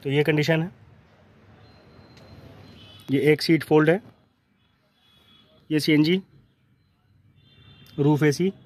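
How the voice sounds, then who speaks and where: A man's voice: a little high, fairly even intonation, slow pace, pauses often, darkish tone. A man with a North Indian accent presenting used cars in a matter-of-fact walkaround, listing details in short phrases. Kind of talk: spoken walkaround sales presentation. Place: outdoor car lot, beside and inside cars. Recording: noisy outdoor street ambience.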